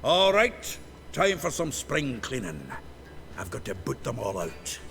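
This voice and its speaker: A man playing a brash, foul-mouthed and hearty brawler in a fantasy video game, with a gravelly, salty delivery. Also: mid-range voice, sing-song, a little slow, fairly bright tone, faint music behind, exaggerated voice. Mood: hearty, wry. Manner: boisterous, salty.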